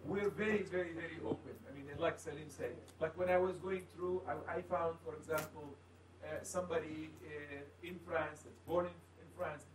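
Man in a meeting, slightly high voice, repetitive and explanatory as he lays out the group's aims. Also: fairly even intonation, moderate pace, noisy background. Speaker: founder of the network. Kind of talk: group discussion.

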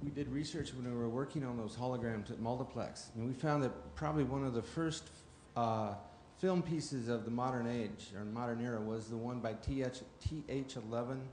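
A man in a conference audience who once made holograms, recalling past research in a measured way. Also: medium pitch, steady tone, darkish tone. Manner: measured, recalling, with some restarts. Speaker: audience member, former hologram maker. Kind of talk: question-time comment. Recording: echoey hall, faint room noise.

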